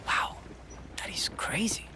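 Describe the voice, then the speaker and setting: A man's voice: slow, in a whisper, close to an everyday voice. An actor playing a glib man making offhand small talk in a video game, with noisy ambience around him. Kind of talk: cinematic video game acting.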